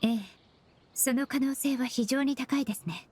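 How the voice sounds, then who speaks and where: A woman playing a composed young female investigator in an anime game, speaking with gentle, formal, measured politeness. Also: sits high, husky. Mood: composed, reassuring.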